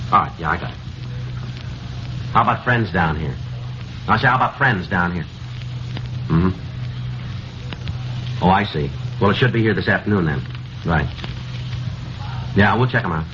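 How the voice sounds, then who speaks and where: A man's voice: lowish voice, close to an everyday voice. A man playing a businesslike police detective taking a phone call in a 1950s radio drama, on a noisy, dull old broadcast.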